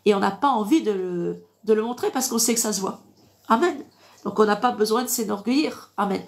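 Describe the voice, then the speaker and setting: A woman's voice: mid-range voice, brisk, gravelly. A woman with a congested, blocked-nose voice giving informal Bible teaching on a livestream, didactic and repetitive, punctuating points with amens. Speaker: online preacher. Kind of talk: informal live Bible teaching with verse reading.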